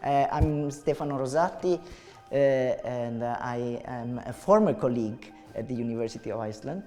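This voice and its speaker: A middle-aged Italian man with an Italian accent, interviewing a writer in a halting way, with many filler sounds. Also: mid-range voice, darkish tone, big-room sound, faint music behind. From Italy. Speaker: interviewer and translator. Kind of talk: hesitant conversational interview.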